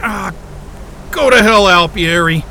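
An actor in an audio drama playing a frightened but defiant captive scientist, stammering, agitated and foul-mouthed. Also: male voice, high-pitched, slow, creaky, sharp tone, a little hiss or hum, exaggerated voice, poor audio. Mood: defiant, furious.

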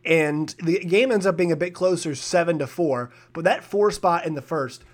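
A young man with a raspy voice, hosting a sports podcast with energetic, opinionated commentary and frequent fillers and restarts. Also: on the high side, normal speed. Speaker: podcast host.

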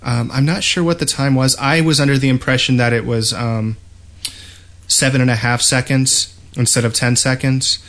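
A man talking casually as a gaming podcast host, explaining things in a hesitant, halting way. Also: mid-range voice, steady tone, fairly bright tone.

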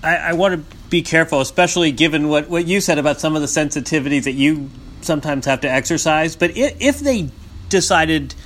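A man interviewing a guest, asking long questions with many halting restarts. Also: on the high side, animated, fairly bright tone. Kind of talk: podcast interview.

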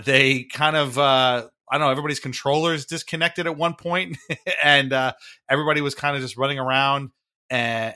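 A male sports podcast host with a bright, forward voice at an ordinary pitch. He recaps games casually, in a conversational and slightly wry way, often qualifying what he says. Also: clear, resonant tone. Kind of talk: casual hockey game recap chat.

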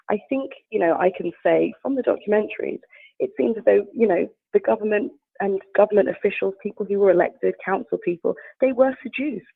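A woman's voice: mid-range voice, creaky, husky. A woman from the Bahamas with a British accent, speaking frankly as a journalist guest, with many "you know" fillers and restarts over a phone line. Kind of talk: phone interview, candid conversational.

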